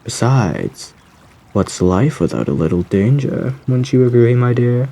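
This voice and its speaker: Male actor as suave woodland villain, gravelly and mellow, genteel menace, theatrical phrasing, ASMR audio roleplay. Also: medium pitch, very animated, a little slow, exaggerated voice. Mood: sinister, enraptured. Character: suave, sinister gentleman predator lurking in dark woods, theatrically menacing.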